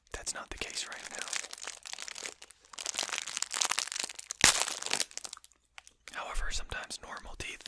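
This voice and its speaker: A performer voicing a courteous, genteel vampire in a whispered ASMR roleplay, speaking formally and deliberately in an unvoiced, breathy whisper. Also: natural voice. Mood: polite, attentive. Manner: formal, polite, deliberate phrasing. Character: courteous, genteel vampire host lecturing a captured hunter.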